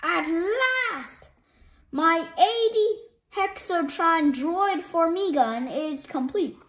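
Woman playing a vain, high-pitched villain cat. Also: animated, very slow, fairly bright tone, echoey room, full character voice. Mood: triumphant. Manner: bombastic, theatrical, exclaiming. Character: boastful male villain cat, vain, scheming, cranky.

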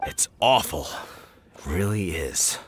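A man's voice: sits low, animated, slow, noisy background. A man voicing a weary, concerned middle-aged hunter in a video game, grave and courteous in manner. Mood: worried.

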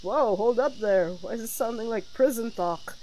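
A woman streaming a game, giving casual, teasing reactions in a wry, animated way. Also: a little high, moderate pace. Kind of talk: casual reacting commentary on a playthrough. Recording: faintly noisy stream with game ambience.

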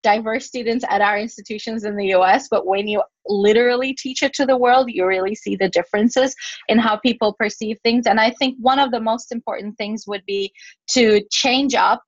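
A woman's voice: fairly high pitch, steady tone, moderate pace, no echo. Woman, Turkish native speaker, lecturer, dry close sound, muffled lo-fi call audio. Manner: explanatory, fillers and self-corrections. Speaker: guest speaker, professor. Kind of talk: webinar talk and Q&A.

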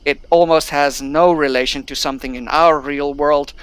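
A young man who learned English as a second language, narrating his gameplay casually. His voice sounds smooth and clear and sits slightly high. He reads the tutorial text aloud, then chats about the game. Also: fairly even intonation, moderate pace, dry recording. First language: not English. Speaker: gameplay streamer. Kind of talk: casual let's-play commentary.

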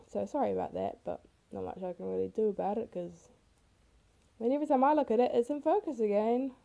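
A teenage girl with a rough, hoarse voice, casually narrating her own speed painting in a chatty, self-deprecating way. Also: a little high, expressive, normal speed, pauses often, dark tone. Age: teens. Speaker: art vlogger. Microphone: camera mic.

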